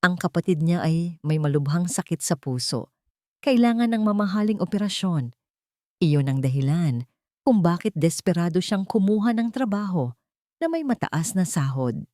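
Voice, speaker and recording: A woman's voice: lowish voice, lively intonation, moderate pace, darkish tone, dry recording, studio quality, natural voice. A woman voicing a scheming male cousin who feigns concern in a confiding, insinuating way, in a drama story.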